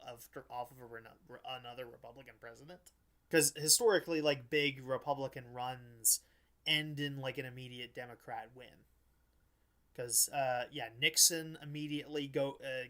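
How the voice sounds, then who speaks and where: A man hosting a podcast, chatting casually about politics in a blunt, halting way, often restarting and correcting himself. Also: medium pitch. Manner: halting, frequent false starts and self-corrections, blunt. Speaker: podcast host.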